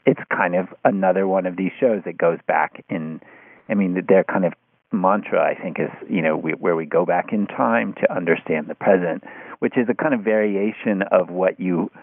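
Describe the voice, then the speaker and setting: A man's voice: low voice, moderate pace, dry recording. A man in a casual, analytical discussion, speaking in hesitant, circling, heavily hedged phrases. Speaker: podcast discussion participant. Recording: muffled phone-like line.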